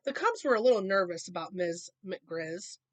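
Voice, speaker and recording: A woman reading a picture book aloud to children, expressive, with casual explanatory asides. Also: fairly low pitch, normal speed. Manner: expressive, explaining to young listeners.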